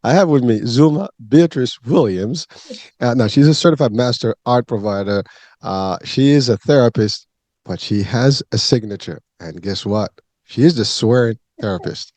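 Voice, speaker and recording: A man's voice: mid-range voice, moderate pace, darkish tone, no echo. A man hosting a wellness interview show, speaking in rambling, hesitant runs with many fillers. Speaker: podcast host.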